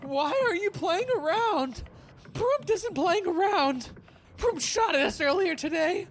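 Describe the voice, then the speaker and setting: A woman's voice: extremely high pitch, animated, takes it slowly, slight background noise, exaggerated voice. A woman playing a panicky, anxious sailor man in an audio drama, frantic and whiny.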